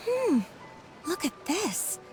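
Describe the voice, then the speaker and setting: A woman playing a curious, gossiping townswoman in a video game, expressive and wondering. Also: extremely high pitch, slow, over music, heavily acted. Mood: surprised, curious.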